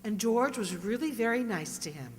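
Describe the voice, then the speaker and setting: A woman playing a scheming, jealous, doting wife in an old-time radio sitcom, theatrically expressive. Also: on the high side, moderate pace, lightly acted. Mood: sweetly goading. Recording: echoey hall.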